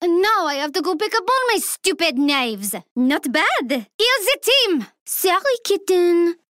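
A woman's voice: extremely high pitch, very animated, unhurried, fairly bright tone, dry recording, studio quality, full character voice. A woman voicing a cocky young female street thief in a video game, with a playful, taunting manner in short lines. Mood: cocky, teasing. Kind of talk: video game character voicelines, short barks.

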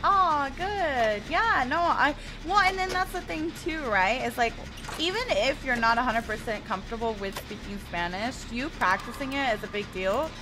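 A woman's voice: high-pitched, expressive, normal speed. A woman in her twenties from the United States, chatting casually with her stream viewers and reading their messages aloud while she plays. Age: 20s. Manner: chatty, laughs often, reads chat aloud.

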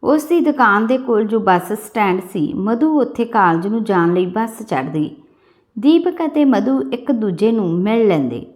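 A woman's voice: medium pitch, sing-song, measured pace, darkish tone. A woman reading a scripted story as an audiobook-style narrator.

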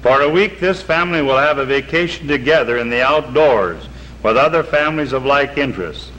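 A middle-aged man reads from his papers in a measured, earnest, formal way. His voice is bright and forceful, at an ordinary pitch. The audio comes from an old 1950s TV broadcast and carries a faint hiss. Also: animated, rough recording. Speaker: camp spokesman. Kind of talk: reading a prepared statement, then documentary narration. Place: outdoors by a lake. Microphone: seated on camera, reading from papers.

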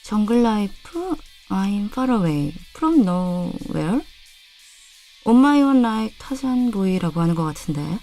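A woman voicing a young female student who slowly, hesitantly sounds out lyrics she hears. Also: mid-range voice, big pitch swings, mellow, faint music behind. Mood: musing. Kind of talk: audio drama acting.

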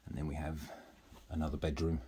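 A man giving a matter-of-fact, halting walk-through commentary as an estate agent, listing features as he moves through a house. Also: extremely low pitch, steady tone, vocal fry, mellow, slightly rough. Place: walking through rooms of a house.

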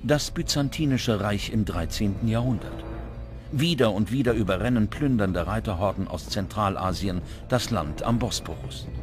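A man's voice: on the low side, lively intonation, moderate pace. Male documentary narrator over prominent music. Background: background music.

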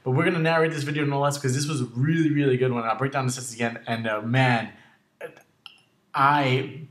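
Male game commentator, mid-pitched, raspy and sore-throated, slightly breathless, casual delivery, roomy home recording. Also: steady tone, a little fast, fairly bright tone. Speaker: video host narrating his own game battle. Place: indoor room at home.

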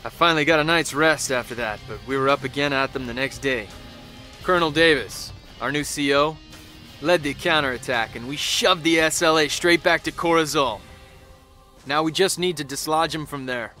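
A cynical, battle-weary game soldier recounting the war. His voice is forceful and mid-pitched, wry and colloquial, with music underneath. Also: moderate pace. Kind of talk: narrated war journal.

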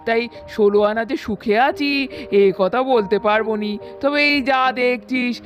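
A woman voicing a wistful old village grandmother in a puppet cartoon, speaking in an emotional, drawn-out rustic way. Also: fairly high pitch, soft background music, close to an everyday voice. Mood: wistful, fond. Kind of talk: puppet cartoon story dialogue.

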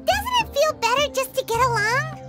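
A tiny fairy sidekick from a video game. The voice is extremely high and squeaky, childlike and chirpy, swooping wildly as it hands out opinions. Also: female voice, big pitch swings, normal speed, over loud music. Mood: chirpy advising.

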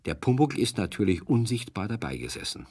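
A man narrating a scripted children's story as a storyteller, with a husky voice. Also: on the low side, very animated, a little fast, darkish tone, slight background noise.

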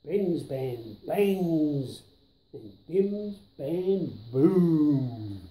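A man's voice: a little high, very animated, extremely slow, warm tone. A man in his seventies reading a children's rhyming book aloud, placing each tongue-twisting word carefully and with emphasis. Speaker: storyteller grandfather. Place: indoors, living room on a sofa. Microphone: camera a few feet away. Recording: roomy living-room echo.

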